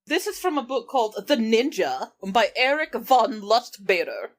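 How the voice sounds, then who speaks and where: High-pitched woman reading and bantering. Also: big pitch swings, moderate pace. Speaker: book club podcast performer reading aloud. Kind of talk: improvised comedy chat with read-aloud passages.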